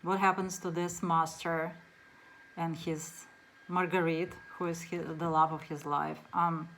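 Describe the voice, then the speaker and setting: A middle-aged woman speaks English with a Russian accent, her voice sitting a little low. She talks in an unhurried, casual way, filling pauses with ums and uhs. A faint background hiss sits under her voice, recorded indoors on a camera mic. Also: level tone, a bit bright. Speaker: book reviewer. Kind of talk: casual book talk to camera.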